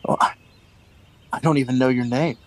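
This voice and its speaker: A man's voice: mid-range voice, fairly even intonation, normal speed, natural voice. An actor playing a nervous, weary man of thirty-nine in a radio play, hesitant and earnest. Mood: earnest, guarded. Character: nervous, weary thirty-nine-year-old rebel clerk.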